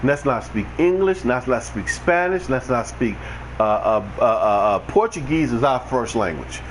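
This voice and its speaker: A man's voice: mid-range voice, animated, normal speed, slight background noise, rough recording. A man giving a blunt, ranting, preachy monologue to his livestream viewers over a headset mic. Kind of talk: unscripted monologue.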